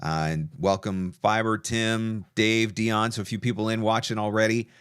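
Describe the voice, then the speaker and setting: A man with a fairly low voice hosts a live webinar. He talks casually and with enthusiasm, like someone chatting with a guest and viewers. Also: steady tone, moderate pace, a bit bright, clean tone. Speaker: host of an online demo session.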